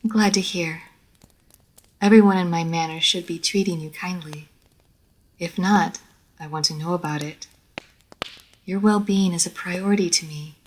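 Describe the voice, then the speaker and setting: A woman playing an immortal vampire lady of a manor in an audio roleplay, formal and measured, poised and teasing, speaking straight to the listener. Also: fairly low pitch, steady tone, natural voice, low-quality recording. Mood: composed, probing. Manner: formal, measured, old-fashioned genteel phrasing. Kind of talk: scripted roleplay audio story, addressed to the listener.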